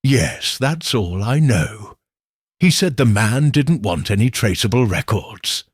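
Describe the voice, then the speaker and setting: A man reads as an anxious young woman in a detective story, guarded and earnest. The voice rises and dips a lot, a little husky, as she hesitantly defends herself. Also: medium pitch, expressive, slow pace, dry recording, studio quality.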